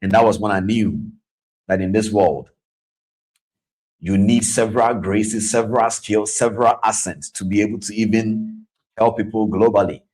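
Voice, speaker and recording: A man's voice: lowish voice, fairly even intonation, moderate pace, darkish tone, poor audio. A man hosting a live-stream trading masterclass, chatting and repeating himself as he greets viewers by name. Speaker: trading coach and host. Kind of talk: live-stream masterclass with audience shout-outs.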